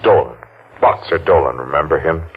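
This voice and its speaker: An actor playing a masked, maimed survivor out for revenge in an old-time radio thriller, speaking in a menacing, taunting, deliberate way. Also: male voice, a bass voice. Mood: menacing, accusing. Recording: muffled, noisy old-time radio recording.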